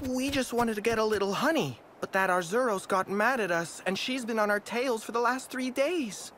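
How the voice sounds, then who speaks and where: A sheepish little cat creature voiced by a woman in a fantasy game, speaking in a high voice. Faint noise sits behind it. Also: normal speed, a bit bright, natural voice. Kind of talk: video game cutscene acting.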